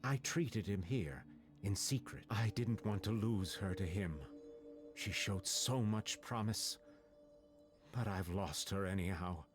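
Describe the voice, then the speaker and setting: A secretive doctor of grave temper talks solemnly and deliberately in a video game. The pitch sits fairly low and the pace is very slow. Loud music runs underneath. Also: male voice. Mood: grave, regretful. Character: grave male academy doctor, menacing, grieving, secretive. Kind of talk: dramatic video game voice acting. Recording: music behind the voice.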